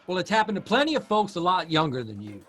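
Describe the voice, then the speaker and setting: An actor playing an earnest, worried grown son in a naturalistic audio drama, halting and exasperated but affectionate. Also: male voice, sits high, huge pitch swings, fairly bright tone, slight background noise, exaggerated voice. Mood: pressing. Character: earnest grown son, a newspaper writer, worried about his aging father. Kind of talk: naturalistic audio drama acting at a live reading. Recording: music behind a live stage reading.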